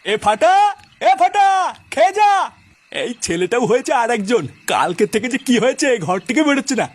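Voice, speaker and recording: A man's voice: extremely high pitch, sing-song, a little fast, full character voice. A man voicing a grumpy, sarcastic village husband who scolds in a comedy cartoon. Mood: impatient.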